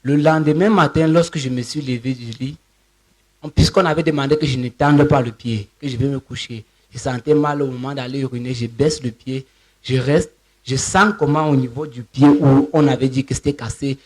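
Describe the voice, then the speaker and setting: A man's voice: medium pitch, fairly even intonation, normal speed, a little mellow. A man giving a spontaneous personal testimony as a church member, narrating step by step with repeated linking words.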